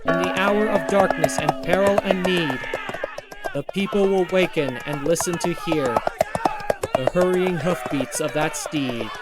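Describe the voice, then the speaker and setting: A man narrates a historical poem with solemn drama. His voice sits a little high and he delivers each phrase in a measured, storytelling way. Prominent music plays loudly behind him. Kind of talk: dramatic poetry reading.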